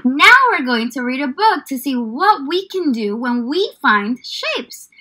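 High, animated young woman teaching children. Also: unhurried. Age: young adult. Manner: encouraging, exaggerated child-directed delivery with questions. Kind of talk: children's lesson and read-aloud. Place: small indoor room.

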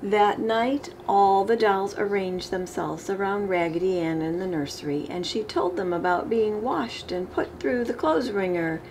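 A middle-aged woman reading a children's picture book aloud with expressive, emphasized storybook delivery. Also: mid-range voice, measured pace, hardly any pauses, low-quality recording. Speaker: storytime reader. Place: living room, indoors. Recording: clear background room noise.

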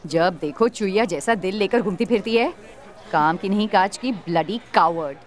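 A woman's voice: mid-range voice, moderate pace, a faint crowd, close to an everyday voice. An actress playing a brash young woman who mocks her friend and bosses people around. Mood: taunting. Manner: taunting, blunt, mixes English phrases. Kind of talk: web series comedy acting.

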